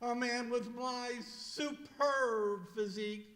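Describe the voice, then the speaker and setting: An actor playing a doleful, henpecked middle-aged postman with drawn-out, plaintive delivery in an old-time radio comedy. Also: extremely high pitch, steady tone, fairly bright tone, exaggerated voice. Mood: doleful, polite. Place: live performance in a museum hall. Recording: echoey hall, live stage recording.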